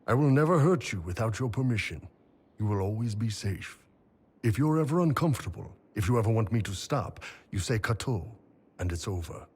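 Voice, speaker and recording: A man voicing a big, confident mercenary warrior in a video game, husky, blunt and casual. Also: on the low side, lively intonation, a little fast, a little mellow. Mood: frank, intimate. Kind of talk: video game dialogue acting.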